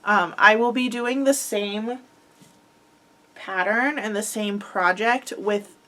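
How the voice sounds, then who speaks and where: A young woman chats casually about her crochet projects with a slightly stuffy, head-cold sound. She rambles and fills pauses with "um" and "like". Her pitch sits mid-range for a woman. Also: bright, forceful tone. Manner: chatty, rambling, filler-heavy with frequent likes and ums. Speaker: crochet podcast host. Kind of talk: casual chat.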